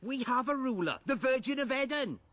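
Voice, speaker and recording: An actor playing a resentful, plain-spoken peasant man in a video game, with rustic, rough speech. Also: very high voice, expressive, a little fast, fairly bright tone, no room sound. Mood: rousing. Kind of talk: video game dialogue acting.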